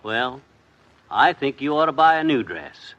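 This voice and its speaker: An actor playing a folksy, talkative middle-aged husband in a 1950s radio comedy. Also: male voice, mid-range voice, sing-song, fairly bright tone, muffled recording. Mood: breezy. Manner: quick, bouncy, jovial delivery.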